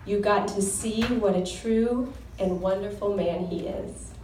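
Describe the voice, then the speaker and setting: A young woman delivers a sincere, devout wedding toast at a medium pitch. Her voice carries from a distance through a reverberant reception hall. Faint clinking of dishes sits low in the background. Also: unhurried, darkish tone, slight background noise. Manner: earnest, heartfelt, devout. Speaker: groom's sister giving a wedding toast. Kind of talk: formal wedding toast with scripture readings. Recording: echoey hall recorded from far off.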